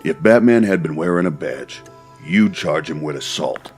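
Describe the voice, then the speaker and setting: A gruff male detective, played by a man with an extremely low voice. He is blunt and resentful, acted for a comic dub with faint music. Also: animated, moderate pace, darkish tone. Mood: indignant. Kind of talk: fan dub voice acting for a comic.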